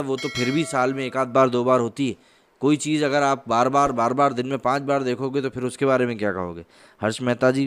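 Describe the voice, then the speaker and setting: A man's voice: a little high, no echo. A man hosting a news commentary in an opinionated, conversational way, part reading and part remarking. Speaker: host. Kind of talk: news commentary, reading out social media posts.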